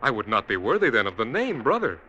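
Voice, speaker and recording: An actor playing a smooth-talking, treacherous younger brother in an old-time radio drama, formal and insistently brotherly. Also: male voice, medium pitch, wildly swooping pitch, quick pace, pushed and bright, highs cut off, a little hiss or hum. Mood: falsely brotherly.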